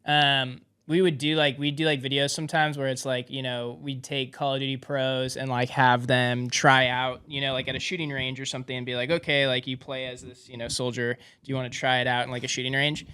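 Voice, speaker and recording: A man's voice: medium pitch, flat delivery, fairly quick, hardly any pauses, sharp tone, smooth. A man chatting casually as a podcast interviewer, informal and talkative. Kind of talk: casual chat.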